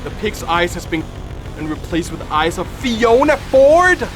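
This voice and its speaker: Man, high voice, streamer, dramatic horror reaction commentary, repetitive phrasing, music behind. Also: big pitch swings, a little slow, poor audio. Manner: repeats phrases over and over, dramatic. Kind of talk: live reaction commentary, reading on-screen text aloud.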